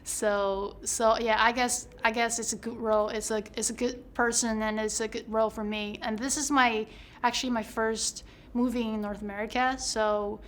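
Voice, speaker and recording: A woman actor, not a native English speaker, chatting in a casual interview and often repeating words as she talks. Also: slight background noise. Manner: halting, repeats words, very even pitch.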